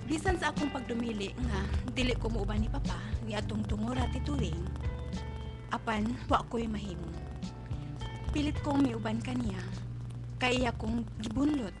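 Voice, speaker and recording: A woman's voice: a little high, moderate pace, long pauses, lightly acted. A woman playing a distraught, defiant young pregnant daughter in a melodramatic radio drama, pleading tearfully and emphatically. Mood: sorrowful narrating. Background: dramatic music.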